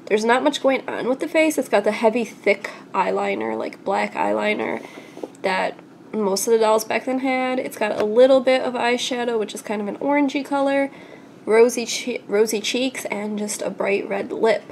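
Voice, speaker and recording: A young woman with a stuffy, congested voice talks through a collectible doll, chatty and enthusiastic with plenty of fillers. Her voice sits a little high. The delivery is casual and unscripted, like a home review vlog. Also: animated, normal speed, a little hiss or hum. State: congested from a recent illness.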